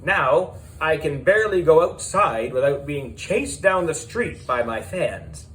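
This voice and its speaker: A man narrating a children's audiobook in the character of a schoolboy, animated and deliberate, with dramatic pauses. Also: on the high side, low-quality recording.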